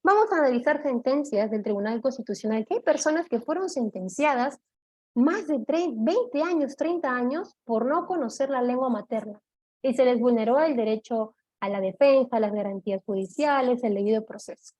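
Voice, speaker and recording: A woman giving an online law lecture, explaining step by step, often checking in with her listeners and pausing on "eh". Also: fairly high pitch, lively intonation, a little mellow. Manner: explanatory, checks in with "¿de acuerdo?", frequent "eh" fillers.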